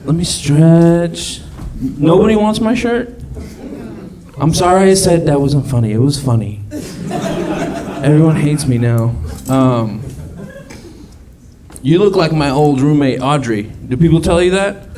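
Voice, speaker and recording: A man's voice: a little high, measured pace, pauses often, noisy background. A man doing a stand-up set on a comedy club stage. He is awkward and self-mocking, teases the audience and swears a lot. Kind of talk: stand-up set with crowd work. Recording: live club set with loud crowd. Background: audience laughter.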